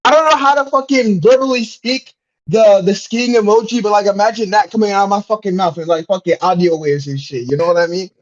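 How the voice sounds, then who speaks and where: A man's voice: high-pitched, steady tone, a little fast. A man in a casual podcast chat, boisterous and slangy, swearing heavily and repeating himself for emphasis. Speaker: podcast guest. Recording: dull lo-fi microphone.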